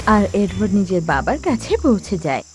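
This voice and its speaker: A woman's voice: medium pitch, very animated, brisk, faint music behind. A woman narrating a cartoon moral tale in a dramatic, scripted storytelling style.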